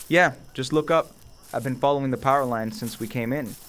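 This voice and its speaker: A man's voice: mid-range voice, brisk, a bit bright, natural voice. A man playing a teasing, practical young friend in a horror audio drama. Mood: practical, relaxed.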